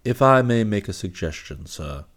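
男性が演じる 低く落ち着いた執事. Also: male voice, on the low side, animated, voice pushed down, close to an everyday voice. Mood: deferential. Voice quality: grave, measured, soft-spoken. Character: deferential, unflappable middle-aged manservant.

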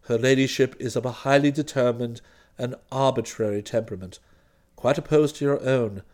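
Guy doing a deep calm english butler type, super formal n deferential, storybook reading. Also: close to an everyday voice. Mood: frank, composed. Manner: formal, measured, circumlocutory. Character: unflappable, deferential English valet, discreetly superior.

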